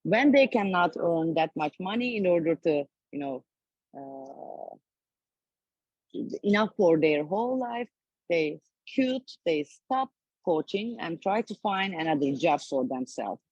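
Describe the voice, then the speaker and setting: Woman from Turkey, slow halting presenter, lowish voice, dull dry call audio. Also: animated, a little mellow, breathy edge, no room sound. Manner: halting, hesitant, many fillers and restarts. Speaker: sports federation speaker. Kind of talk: conference presentation. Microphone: video call.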